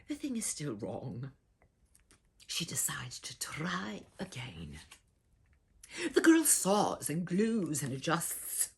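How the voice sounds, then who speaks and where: A woman reading a children's picture book aloud in a theatrical, exaggerated way, with a breathy voice and dramatic shifts in delivery. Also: low voice, wildly swooping pitch, slow pace, long pauses. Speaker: children's storyteller and read-aloud host.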